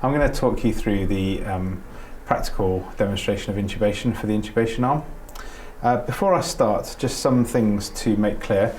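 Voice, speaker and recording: A man's voice: on the low side, moderate pace, darkish tone, slight background noise. A middle-aged man, a doctor, giving spoken practical instruction in an informal, explanatory manner. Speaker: doctor giving a training demonstration.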